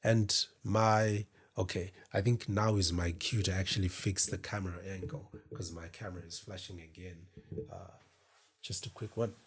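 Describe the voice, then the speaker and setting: Deep, husky man, slow and reflective. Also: steady tone. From Zimbabwe. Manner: reflective, meandering, self-deprecating. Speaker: podcast host. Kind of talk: casual solo talk to camera. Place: indoors in front of a camera.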